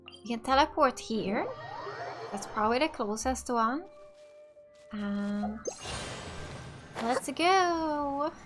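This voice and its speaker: A woman's voice: high voice, animated, takes it slowly, long pauses, over music. A woman from Finland, a native Finnish speaker, giving casual let's play commentary and tentatively thinking aloud. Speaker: gaming streamer.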